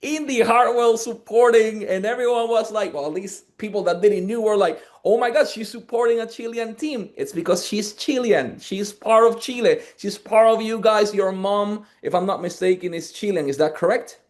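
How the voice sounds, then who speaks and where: A man's voice: high-pitched, normal speed, few pauses. A man hosting a wrestling interview, eager and talkative as he asks his questions. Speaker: interview host. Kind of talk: energetic sports interview.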